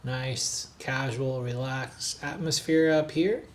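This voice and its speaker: A man narrating a hotel and city tour for his travel vlog in a relaxed, chatty way, with frequent "uh" and "um" fillers. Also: medium pitch, slow pace. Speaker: travel vlogger, hotel reviewer. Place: recorded on the road in a noisy city.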